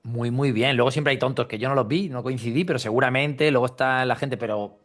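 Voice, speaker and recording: Fast-talking Spanish man hosting casual chat. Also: mid-range voice. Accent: Spain Spanish. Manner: informal, chatty, peppers talk with slang. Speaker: podcast host and interviewer.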